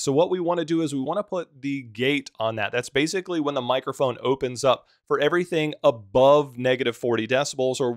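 A man with a deep voice giving a relaxed, practical walkthrough of streaming audio settings. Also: dry recording, studio quality. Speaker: streaming tutorial host. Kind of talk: screen-recorded software tutorial.

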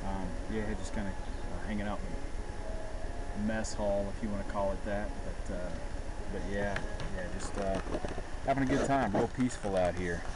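A man in his forties, vlogging casually at a forest campsite and along a river, talking in a relaxed, rambling way with many fillers, on a handheld camera held at arm's length. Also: fairly low pitch, normal speed. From western North Carolina. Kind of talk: casual outdoor vlog. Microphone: handheld camera at arm's length, walking. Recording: noisy outdoor camcorder audio. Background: river and outdoor ambience.

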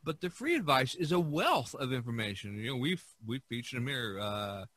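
A man hosting a casual podcast discussion, speaking haltingly and drawing out some words. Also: medium pitch, sing-song, a bit bright, no room sound. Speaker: podcast host.

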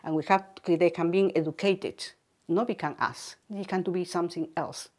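An older woman from South America talks in a lowish voice. She lays down advice firmly and directly, with a plain, steady delivery. It is a documentary interview recorded indoors. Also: normal speed. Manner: firm, advice-giving.

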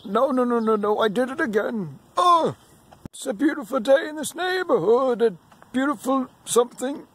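Man as high-voiced kindly old gentleman puppet. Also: lively intonation, moderate pace, breathy edge, full character voice. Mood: flustered. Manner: apologetic, flustered, sing-song.

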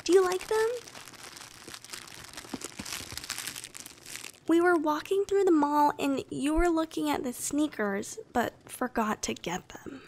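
Woman acting a high, breathy, bashful girlfriend. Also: a little hiss or hum. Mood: sweet, gentle. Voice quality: soft, breathy, often whispered. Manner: slow, gentle, intimate, coy. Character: shy, affectionate young anime-style girlfriend, clingy and bashful. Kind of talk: soothing ASMR roleplay.

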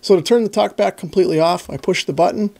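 A man's voice: high-pitched, fast talker, creaky, darkish tone, slight background noise. A middle-aged man presenting a product overview and demonstration in a methodical, plain-spoken way. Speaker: radio reviewer and presenter.

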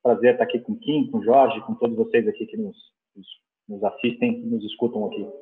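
A middle-aged Brazilian man answering conversationally as a panel guest, halting and repeating words, on a headset video call. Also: on the low side, flat delivery. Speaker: business executive, panel guest. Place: indoor room. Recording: tinny, narrow video-call line.

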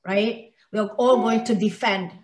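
A woman from Somalia, raised in Kenya, talks as a podcast guest. She speaks earnestly and stresses her points by repeating them. Her voice sits at a middle pitch and carries a noticeable room echo. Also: fairly even intonation, moderate pace, a little hiss or hum. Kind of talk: heartfelt conversational interview.

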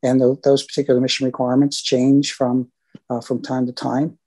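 Flat-voiced man with halting delivery. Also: medium pitch. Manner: halting, many fillers. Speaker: senior government language advisor. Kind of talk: closing remarks of a presentation.

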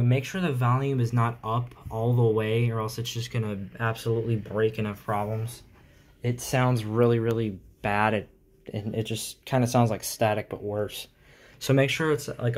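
Male hobbyist host, mid-pitched, casual and halting, talking through a how-to from behind a handheld phone. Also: a little mellow. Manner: halting, informal, explanatory. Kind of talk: casual tech tutorial vlog. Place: small indoor room at home.

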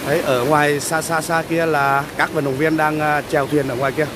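Man commentating over loud beach surf. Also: on the high side, little pitch movement. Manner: halting, many drawn-out fillers, addresses viewers. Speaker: vlogger filming a beach race. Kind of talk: casual live commentary. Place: outdoors on a windy beach by the surf. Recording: loud surf and wind noise.

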